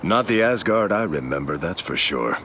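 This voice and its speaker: A man's voice: low-pitched, lively intonation, moderate pace, pushed and bright, slight background noise. A man playing an upright, steadfast soldier hero in a video game, earnest and resolute. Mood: earnest resolve.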